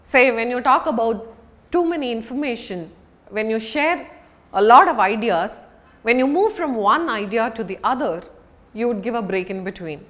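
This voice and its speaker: A woman teaches like a speaking coach, in a fairly high voice that moves widely up and down. She is deliberate and emphatic, pausing to stress key words as she explains things to viewers. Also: expressive, fairly bright tone. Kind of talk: instructional lesson to viewers.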